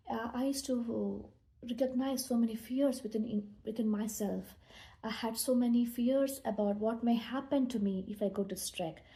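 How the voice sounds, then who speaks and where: Mellow-voiced Indian woman telling her story. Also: a little high. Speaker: meditation speaker. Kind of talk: personal storytelling talk.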